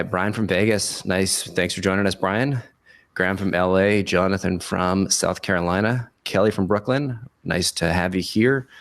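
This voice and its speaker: A man hosting a webinar podcast in a casual, chummy way, his voice raspy from a cold. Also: sits low, no room sound. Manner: informal, chummy address. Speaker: podcast host.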